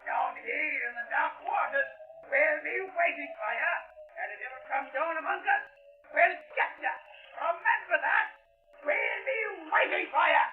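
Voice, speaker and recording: An actor playing a burly, defiant sea captain in a 1940s radio drama, shouting bitter accusations in a declamatory manner. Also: male voice, very high-pitched, a little slow. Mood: enraged. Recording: tinny, distant old radio recording with music.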